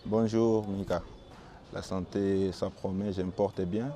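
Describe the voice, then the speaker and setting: A young man from Bukavu with a Congolese French accent, Swahili his first language, calmly answering interview questions as a football goalkeeper. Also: low voice, very noisy. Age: young adult. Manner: calm and measured, with small restarts.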